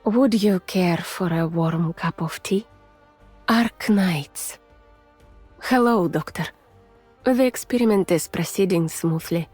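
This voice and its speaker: Slow breathy russian lady scientist, gentle and weary, game character, very close and whispery, music behind. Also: on the low side. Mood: calm, reflective. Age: adult, mature. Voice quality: soft, breathy, often near a whisper. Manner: measured, formal, restrained. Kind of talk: soft, intimate ASMR-like game voice acting.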